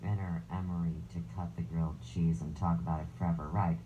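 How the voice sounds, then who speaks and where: A woman with a notably deep, low-pitched voice and a dark, mellow tone runs a live stream. She reads viewer comments aloud and answers them with sarcastic, crude jabs, in a casual chatty way. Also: fairly even intonation, brisk, muffled recording, slight background noise.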